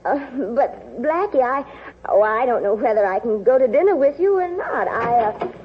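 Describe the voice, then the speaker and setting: A woman playing a frightened, hesitant young woman in a 1940s radio drama, speaking in an anxious, halting way. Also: animated, natural voice. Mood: nervous. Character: frightened, hesitant young female trucking business owner. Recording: muffled old radio recording, faint noise. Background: vehicle rumble.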